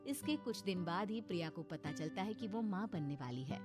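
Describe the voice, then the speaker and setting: Woman narrating a story over loud music. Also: fairly low pitch, animated, moderate pace. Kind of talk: scripted horror story narration for a cartoon. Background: loud background music.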